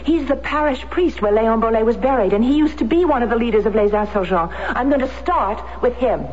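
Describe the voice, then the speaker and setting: An actress playing a middle-aged, determined American war widow in a radio drama, speaking earnestly and insistently. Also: female voice, a little high, lively intonation, fairly quick, natural voice. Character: determined American war widow seeking her husband's betrayer. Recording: dull, noisy vintage radio recording.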